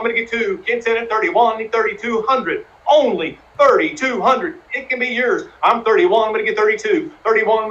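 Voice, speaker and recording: Bright high-voiced man auctioneering. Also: dull audio. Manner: fast rhythmic number calling, coaxing bidders. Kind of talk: rapid auction chant. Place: live streamed fundraising event.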